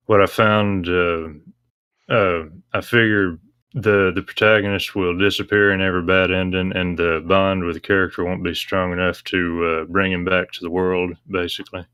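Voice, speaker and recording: A man talking casually in a discussion, explaining things in a halting way. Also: low-pitched, bright, forceful tone, clear, resonant tone, dry recording. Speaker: discussion panelist on a visual novel talk stream.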